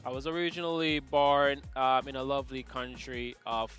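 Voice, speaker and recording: Male live-stream host from Jamaica, mid pitch, playful chatty delivery with a light musical bed underneath. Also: level tone, normal speed, fairly bright tone, faint music behind. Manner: joking, chatty, reads out chat questions. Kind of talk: casual live-stream chat with viewers. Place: home setup, UK.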